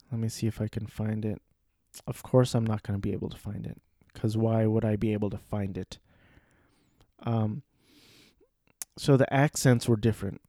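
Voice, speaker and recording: A man's voice: fairly low pitch, dark tone, no room sound, clean studio sound. A man talking casually on his own, hesitant, with many fillers and restarts. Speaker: solo commentator. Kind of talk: casual rambling chat.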